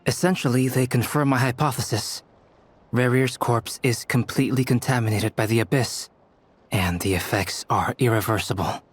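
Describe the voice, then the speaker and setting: Measured, scholarly researcher voiced by a man over music. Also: mid-range voice, husky, natural voice. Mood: grave, absorbed. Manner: measured, formal, analytical. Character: polite, scholarly young male researcher absorbed in theory. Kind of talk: English video game dub acting.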